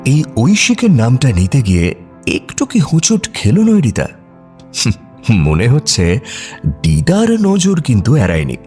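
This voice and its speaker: A male narrator with a mid-pitched voice and a very lively intonation tells a scripted romance. He sounds reflective and conversational, with faint music underneath. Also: measured pace, long pauses.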